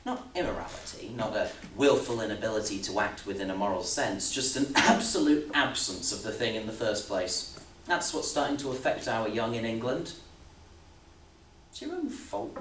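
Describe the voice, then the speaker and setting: A man's voice: medium pitch, a bit bright. Man acting Elwood, a volatile, overbearing boss. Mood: insistent flattery. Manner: rambling, insistent, with rhetorical questions and sudden swells. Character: Elwood, overbearing, needling male boss fretting about the young. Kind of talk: theatrical stage monologue. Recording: roomy, distant mic, faint background noise.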